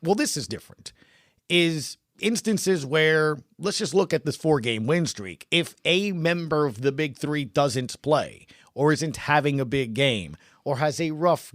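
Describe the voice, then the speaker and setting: A man's voice: a little high, dry recording, studio quality. A man hosting a sports podcast, talking informally and conversationally as he thinks aloud.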